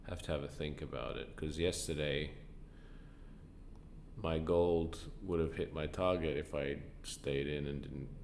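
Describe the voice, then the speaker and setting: A very low-voiced man with a stuffy, congested nose, tired from little sleep. He talks slowly and hesitantly, thinking aloud as he hosts a live stream, with faint room noise behind him. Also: fairly even intonation, vocal fry, a little mellow. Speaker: trading stream host. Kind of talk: casual live stream chat.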